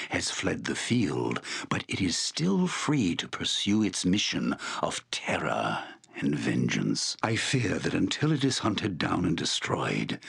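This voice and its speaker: A noble fantasy-game shaman with a very deep, husky voice. He speaks slowly and formally, with solemn gravity and broad swells of intonation. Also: exaggerated voice. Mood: grave, foreboding. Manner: solemn, formal, deliberate delivery. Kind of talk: dramatic fantasy video game voice acting.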